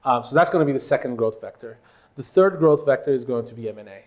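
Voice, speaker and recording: A man from Turkey with a mid-range voice speaks as an executive being interviewed. He is a native Turkish speaker who builds his answers in halting, conversational phrases, leaning on the points he wants to stress. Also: medium pitch, expressive, darkish tone. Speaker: company executive, interview guest.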